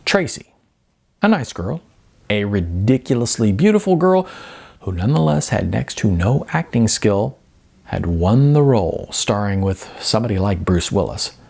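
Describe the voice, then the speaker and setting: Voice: medium pitch, sing-song, moderate pace, vocal fry, a little mellow. A narrator reading a novel aloud as scripted storytelling. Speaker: audiobook narrator.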